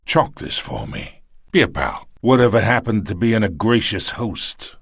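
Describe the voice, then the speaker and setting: An actor playing a smug, menacing middle-aged crime boss in a video game, with a streetwise, slangy, taunting delivery. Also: male voice, fairly low pitch, lively intonation, a little slow, slightly rough, no room sound, clean studio sound, heavily acted. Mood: persuasive, threatening.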